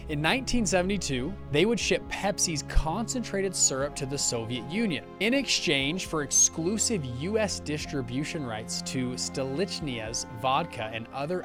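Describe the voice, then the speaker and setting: A young man tells a scripted business story, his voice sitting a little high. He slips in upbeat, joking asides as he goes. Soft background music plays beneath him. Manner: upbeat, jokey asides to camera. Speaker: host.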